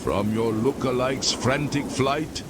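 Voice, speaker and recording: An actor playing an ancient, weary former priest damned to an endless abyss, speaking slowly and solemnly. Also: male voice, medium pitch, very noisy, lightly acted. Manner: slow, solemn, drawn-out delivery. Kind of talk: game character dialogue. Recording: loud rain and thunder behind.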